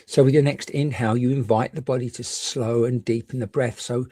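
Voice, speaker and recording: A man's voice: medium pitch, steady tone, dry recording. Soothing man guiding a meditation. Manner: gentle, reassuring, unhurried with pauses. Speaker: meditation guide. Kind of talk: guided meditation.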